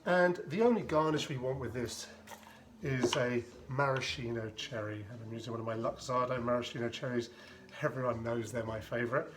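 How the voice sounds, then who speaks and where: A man's voice: mid-range voice, fairly quick, background noise. Chatty man mixing a cocktail. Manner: chatty, rambling asides, dry humor; narrates while mixing and shaking drinks. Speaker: home bartender making a cocktail. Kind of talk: casual how-to demonstration.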